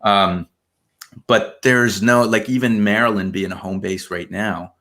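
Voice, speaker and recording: A man's voice: lowish voice, measured pace. Laid-back man from Argentina telling stories. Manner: relaxed storytelling, many fillers like "man" and "you know". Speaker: podcast guest. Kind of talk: casual conversational interview.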